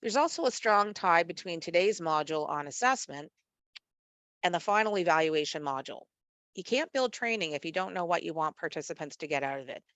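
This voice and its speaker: A woman with a slightly low, nasal voice, stuffy from a cold, hosts an online training webinar. She reads in a measured, steady way with the odd filler. The sound is dry and close, from a computer mic on a video call. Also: no echo. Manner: measured, read-aloud delivery with occasional fillers. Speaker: webinar host, executive director of a literacy network. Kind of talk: scripted webinar presentation.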